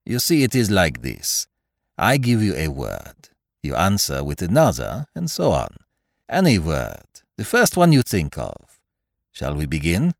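A man voicing a genteel, shrewd foreign detective in an audiobook, speaking formally and deliberately with the odd French phrase. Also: low voice, huge pitch swings, gravelly, no room sound, clean studio sound, full character voice. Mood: gently coaxing. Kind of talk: audiobook character voice.

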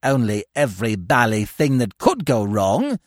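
A man voicing an excitable, aggrieved young upper-class English gentleman with an agitated, emphatic delivery. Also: mid-range voice, unhurried, no room sound, clean studio sound, pitched below the performer's own voice.

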